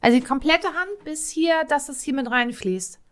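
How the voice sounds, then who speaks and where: A woman's voice: high-pitched, moderate pace. A woman co-hosting a casual chat, enthusiastic and teasing. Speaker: podcast co-host.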